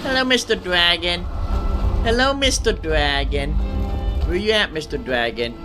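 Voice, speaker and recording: A man giving casual solo gameplay commentary, thinking aloud in a rambling way and often repeating his phrases, with steady noise behind him. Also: high voice, unhurried, over music. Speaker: gaming streamer.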